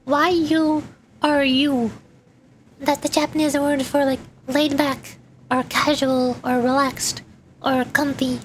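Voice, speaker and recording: High-pitched chatty woman streamer. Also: level tone, slow, a little hiss or hum. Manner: chatty, explaining to viewers, repeats herself for emphasis. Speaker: VTuber streamer. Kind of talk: livestream chat while playing a game.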